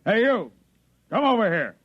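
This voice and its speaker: Folksy blustering starship captain, lively pitch swings, 1950s radio acting, dry muffled vintage sound. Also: male voice, moderate pace, husky, no room sound, heavily acted. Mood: barking orders. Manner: blustering, colloquial.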